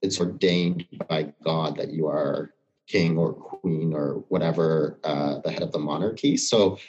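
A man's voice: a bass voice, unhurried, a little mellow, lo-fi sound. A man speaking reflectively as a podcast guest, thinking aloud and searching for his words in a conversational discussion.

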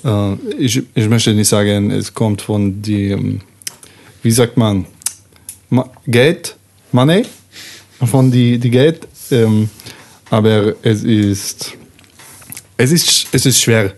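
Man putting on a halting, secretive foreign painter. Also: lowish voice, a little mellow. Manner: halting, searching for words in deliberately broken grammar like a foreigner. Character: halting, secretive male trailer-dwelling painter with little money.

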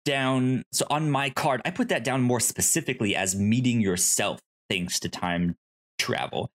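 Hesitant man chatting casually on a podcast. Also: medium pitch. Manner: hesitant, halting delivery. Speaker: podcast co-host. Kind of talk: casual movie podcast chat.

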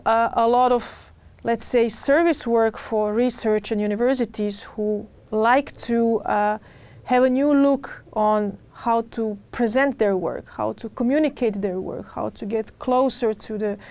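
Higher-pitched woman with a Serbian accent. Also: dry recording. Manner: hesitant, searching for words, repeats phrases. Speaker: podcast guest, urban designer. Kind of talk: interview answers about own career.

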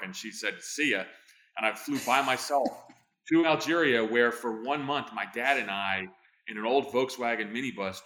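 Brief: man in his fifties, interview guest, talks very fast with restarts, lowish bright voice. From born in Greece, raised in New Jersey. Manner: fast, energetic storytelling with many fillers and restarts. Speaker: guest, former intelligence officer. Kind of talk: conversational interview answers.

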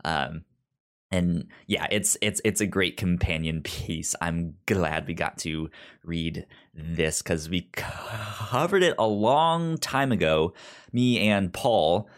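Deep-voiced man, halting podcast host. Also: expressive. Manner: halting, often repeats words. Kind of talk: casual book discussion.

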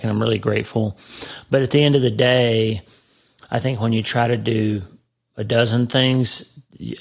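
A man hosting his own podcast in a chatty, casual way, his voice gravelly from sinus trouble. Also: on the low side. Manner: chatty, warm greeting, frequent restarts. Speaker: podcast host. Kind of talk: casual solo podcast talk.

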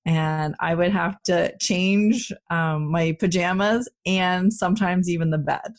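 Casual woman co-host for a parenting podcast, lowish voice, loose and chatty. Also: measured pace. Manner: chatty, with frequent fillers and restarts.

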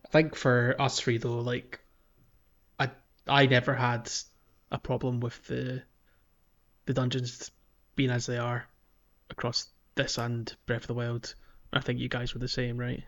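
Male gaming podcast host, average pitch, informal conversation, somewhat dull recording. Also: completely flat delivery, a little slow, pauses often, fairly bright tone. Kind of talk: casual gaming podcast chat. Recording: slightly dull, lo-fi sound.

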